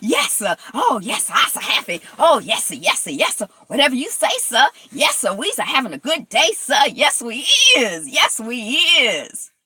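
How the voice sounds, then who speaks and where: A woman voicing a fawning, eager-to-please grown man who talks in a loud, cheerful drawl. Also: fairly high pitch, very animated, normal speed, few pauses, husky, no echo, pitched above the performer's own voice, exaggerated voice.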